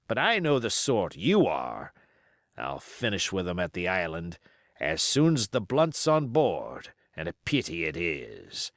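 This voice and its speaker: A man's voice: fairly low pitch, dry recording, clean studio sound. A man voicing a sly, boastful, commanding pirate cook in an audiobook, with emphatic rustic seafaring talk. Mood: scornful, exasperated. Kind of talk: audiobook character voice.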